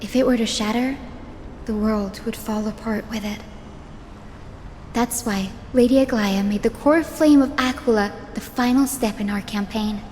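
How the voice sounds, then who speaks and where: A woman voicing a gentle, earnest young priestess in a video game, speaking courteously and formally. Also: on the high side, normal speed, slight background noise, close to an everyday voice. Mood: solemn. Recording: long reverb, music behind.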